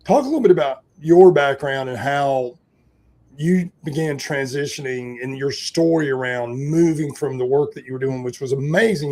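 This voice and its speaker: A man's voice: medium pitch, darkish tone. A man hosting a leadership interview show, asking long, enthusiastic, rambling questions. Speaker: podcast host.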